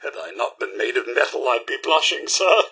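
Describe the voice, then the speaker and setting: A man's voice: medium pitch, big pitch swings, normal speed, pushed and bright, breathy edge, heavily acted. A male actor playing a loyal, prim robot butler in a video game, with a British accent and formal, fussy, clipped diction. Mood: courteous, warm. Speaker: companion character in a video game. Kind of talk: theatrical video game voice acting.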